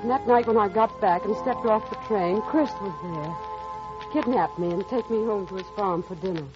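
A woman's voice: medium pitch, moderate pace, music in the background, lightly acted. A woman playing a poised, tender and anxious businesswoman in an old-time radio drama, with emotive, theatrical delivery. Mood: warm, reflective. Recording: muffled, noisy vintage radio recording.

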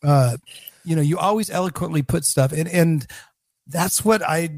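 A man's voice: a little high, normal speed, darkish tone, dry recording, clean studio sound. A man hosting a podcast in casual chat, rambling and poking fun at himself. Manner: rambling, self-mocking. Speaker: podcast host.

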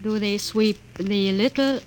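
An actress playing a guarded wife secretly in the resistance in an old radio drama, hushed and hesitant. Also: female voice, mid-range voice, a little slow, natural voice. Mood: wary, hushed. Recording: noisy vintage radio recording.

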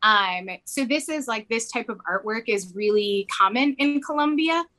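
A woman's voice: a little high, animated, moderate pace, pushed and bright. A young woman who grew up in Mexico, talking casually in an interview, rambling with lots of "like" fillers. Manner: rambling, heavy use of "like" fillers.

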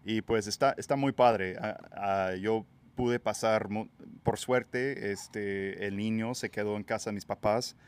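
A man from Texas hosting a casual podcast chat outdoors by a pool, English his first language, talking in a relaxed, hesitant way with many fillers and restarts. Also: fairly low pitch, unhurried, clean tone. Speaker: podcast host.

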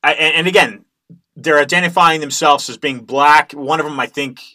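A man hosting an interview, halting and stumbling through his questions. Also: fairly high pitch, steady tone, pushed and bright. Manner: halting, many restarts and fillers. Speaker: host.

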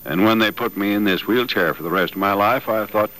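Wry, skeptical builder played by a male actor, folksy old-time radio drama, low bright voice, vintage recording. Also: a little fast, natural voice, low-quality recording. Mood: moved, doubting. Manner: folksy, dry, musing. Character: modest, wry builder in a wheelchair, skeptical and teasing.

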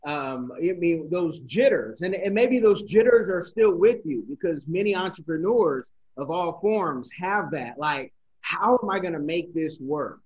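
A man's voice: fairly high pitch, lively intonation, measured pace, darkish tone, slightly rough. Earnest man interviewer, dull lo-fi audio. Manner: earnest, encouraging, restarts. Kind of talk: casual interview questions.

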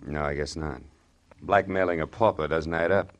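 An American actor playing a wry, slangy private detective in a hard-boiled 1940s radio drama style. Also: male voice, extremely low pitch, steady tone, normal speed, lo-fi sound. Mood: wry, probing. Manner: sardonic, slangy, laconic. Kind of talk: 1940s radio acting, noir first-person narration.

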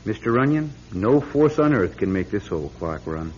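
Low-pitched blunt repairman. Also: big pitch swings, moderate pace. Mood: resigned, certain. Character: matter-of-fact, gloomy clock repairman. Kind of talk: radio drama acting. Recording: dull lo-fi radio recording.

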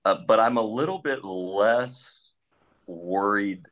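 Big-voiced man with a bright, forceful edge. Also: on the low side, slow. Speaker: police trainer, panelist. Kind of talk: panel discussion.

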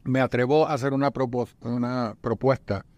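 A man talking casually among fellow writers, making measured, thoughtful suggestions. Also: medium pitch, fairly even intonation, darkish tone, dry recording, muffled recording. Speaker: guest poet. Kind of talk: casual conversation.

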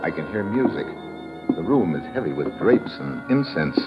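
Deep-voiced hard-boiled American agent. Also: male voice, big pitch swings, moderate pace, creaky, muffled recording, heavily acted. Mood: wry, tense narration. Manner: hard-boiled, terse, slangy. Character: tough, wry American male agent on the run. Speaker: lead actor and narrator. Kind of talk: old radio crime drama narration and acting. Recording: band-limited old radio recording, dramatic music.

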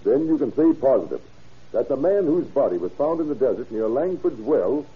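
Stern, husky courtroom prosecutor, old radio. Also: male voice, mid-range voice, very animated, moderate pace. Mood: formal, probing. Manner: formal, clipped questioning. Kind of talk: 1930s radio drama acting. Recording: muffled, rough old radio recording.

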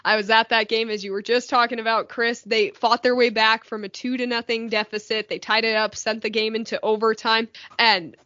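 A woman's voice: fairly high pitch, flat delivery, fairly quick, few pauses, bright, forceful tone, no room sound. Upbeat woman, dry lo-fi mic. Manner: earnest, enthusiastic praise of plays. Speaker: podcast co-host, hockey analyst. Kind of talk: casual podcast discussion.

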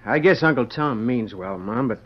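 A man's voice: medium pitch, lively intonation, normal speed, lightly acted. A young man playing an earnest, bashful, hesitant grown son in an old radio drama. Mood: sincere. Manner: hesitant, boyish wording. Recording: muffled old radio recording.

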